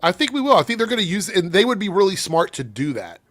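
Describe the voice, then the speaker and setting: A man hosting a podcast with a somewhat high voice that swings up and down a lot. He talks in an animated way and laughs easily. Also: fast, no room sound. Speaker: podcast host. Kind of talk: casual podcast chat.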